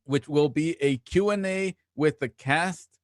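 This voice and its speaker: Guy hosting an online show, slightly high voice, playful n rambly, super dry sound with no room. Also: a little slow, no room sound. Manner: playful, talkative, rambling asides. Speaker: host. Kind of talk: casual live show introduction and promotion.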